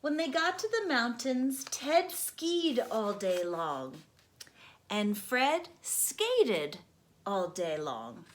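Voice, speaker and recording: A young adult woman, host of a storytime channel, reading a children's book aloud slowly and gently. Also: a little high, big pitch swings, a little hiss or hum. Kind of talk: children's read-aloud narration.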